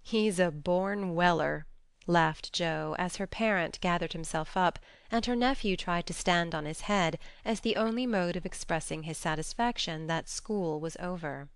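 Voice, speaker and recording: A woman's voice: on the low side, normal speed, hardly any pauses, smooth, no room sound. A woman reading scripted storybook narration as an audiobook narrator.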